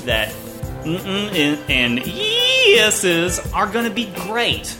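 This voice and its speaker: A woman's voice: medium pitch, huge pitch swings, very slow, over music, exaggerated voice. A woman playing an earnest, encouraging advice-show partner in improvised comedy, groping a little for words. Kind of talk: improvised comedy radio theater.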